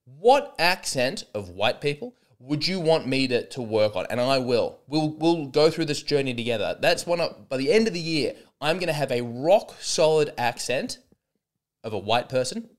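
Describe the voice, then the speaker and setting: A man in early adulthood riffs through a solo comedy podcast, his pitch leaping up and down as he talks. He rambles and restarts his sentences often, with a dry, sarcastic edge. His voice sits at an ordinary middle pitch for a man. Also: big pitch swings, moderate pace. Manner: rambling, sarcastic, many false starts.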